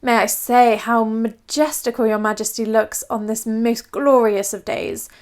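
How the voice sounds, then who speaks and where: Storybook royal painter, male, creepy toady, drawn-out flattery female reader performing. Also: a little high, natural voice. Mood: fawning.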